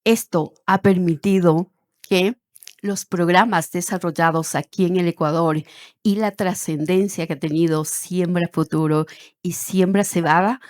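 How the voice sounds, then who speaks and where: A woman moderates a panel in a formal, emphatic manner. Her voice is on the lower side and is recorded close and dry, with little room sound. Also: darkish tone, dry recording. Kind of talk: formal moderating, commentary between speakers.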